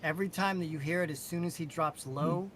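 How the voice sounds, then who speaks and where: A man's voice: fairly high pitch, brisk, a bit bright. A man chatting casually and enthusiastically on a podcast panel, making points in short emphatic statements. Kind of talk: casual fan chat.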